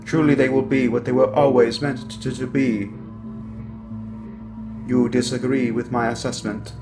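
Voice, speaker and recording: A menacing, sentient machine, acted by a man speaks in an ASMR roleplay monologue. The delivery is slow and deliberate, cold with contempt for humankind. Words catch and repeat like glitches, with faint music behind. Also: medium pitch. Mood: grandiose menace, then cold threat.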